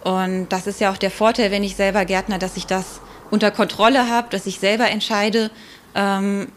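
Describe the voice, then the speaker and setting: A woman's voice: medium pitch, level tone, normal speed, a little hiss or hum. Woman explaining as a podcast guest. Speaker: expert guest. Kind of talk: explanatory podcast interview talk.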